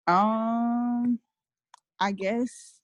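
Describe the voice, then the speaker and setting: A woman's voice: fairly high pitch, fairly even intonation, very slow, no room sound, lo-fi sound. A woman from Atlanta, a new distributor speaking on a video call, answering hesitantly with lots of fillers.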